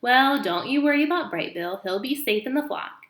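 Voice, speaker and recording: A woman's voice: sits high, animated, moderate pace, a bit bright, echoey room, pitched above the performer's own voice. A woman voicing a motherly old female goose in a storybook, cheerful, bossy and emphatic with her advice. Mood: breezy, reassuring.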